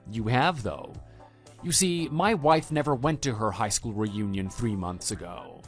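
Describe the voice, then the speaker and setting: A man playing a calm, matter-of-fact husband and former teacher in a scripted online radio drama. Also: on the low side. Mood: calm, firm. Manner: measured, matter-of-fact, quietly dry. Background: background music.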